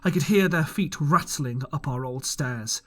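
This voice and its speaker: A man's voice: a little high, unhurried, sharp tone. A man reading a classic adventure novel aloud as an audiobook, narrating expressively in first person. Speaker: audiobook reader. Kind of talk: storybook narration.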